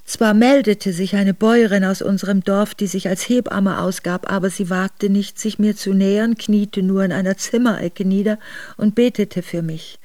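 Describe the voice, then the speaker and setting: An actress playing an aristocratic middle-aged Russian woman quietly recounting her memoirs to her son in a radio drama. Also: medium pitch, fairly even intonation, moderate pace, no room sound, natural voice. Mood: troubled recollection. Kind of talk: intimate radio drama narration.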